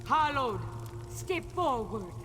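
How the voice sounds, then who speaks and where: Slow, solemn cat-folk priestess. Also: female voice, sits high, very animated, over music, exaggerated voice. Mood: ceremonial proclaiming. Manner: solemn, measured, ceremonial. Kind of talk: fantasy video game character dialogue.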